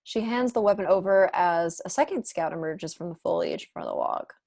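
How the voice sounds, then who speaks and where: Female narrator for script table read, slightly low pitch, dry sound, reads scene directions. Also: wildly swooping pitch, fairly quick, vocal fry, darkish tone, no room sound. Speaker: narrator reading stage directions. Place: indoor room at home, video call.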